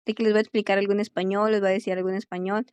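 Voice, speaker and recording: A young woman, an English teacher, chatting quickly in a casual conversation and filling her pauses with este. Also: mid-range voice, flat delivery, a little mellow, smooth, dry recording. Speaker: English teacher and language student.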